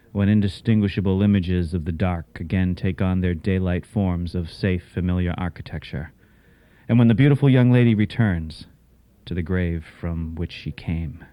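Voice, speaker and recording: A man hosting and narrating a scripted radio tale in a measured, literary, dramatic manner. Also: sits low, no room sound, lo-fi sound. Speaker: host and narrator.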